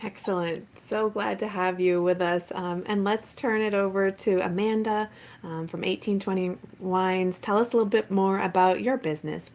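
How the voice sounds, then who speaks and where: A woman's voice: medium pitch, steady tone, normal speed, a little mellow. A woman hosting a podcast, reading a scripted welcome and then chatting with her guests in a friendly, conversational way. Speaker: podcast host.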